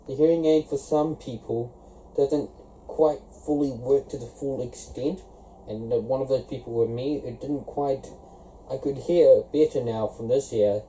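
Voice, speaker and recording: A young man from South Africa explaining things step by step in a relaxed, casual vlog. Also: medium pitch, low-quality recording. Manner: relaxed, explaining step by step, many "so" and "of course" links. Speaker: vlogger.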